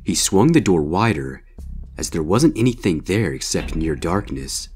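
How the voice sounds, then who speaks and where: A man's voice: sits low, sing-song, a little slow, darkish tone, soft background music. A man reading a scripted horror story as its narrator.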